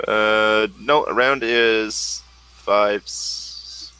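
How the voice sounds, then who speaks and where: A man running a tabletop role-playing game, narrating casually and haltingly, pausing to find his words. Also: fairly low pitch, fairly even intonation, sharp tone, clean tone, no echo. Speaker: game master.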